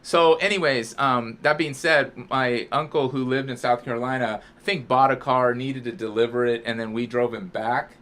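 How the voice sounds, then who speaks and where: Podcast co-host: American man, bright voice, mid pitch, informal and anecdotal, conversational. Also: fairly even intonation, normal speed, hardly any pauses. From Southern California, United States. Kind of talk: casual conversational chat.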